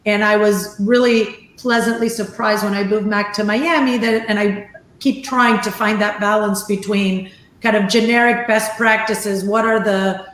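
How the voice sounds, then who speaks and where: Woman panelist from Venezuela, measured and articulate, mid pitch, echoey room. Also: steady tone, a bit bright, a little hiss or hum. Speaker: investor panelist. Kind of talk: panel discussion.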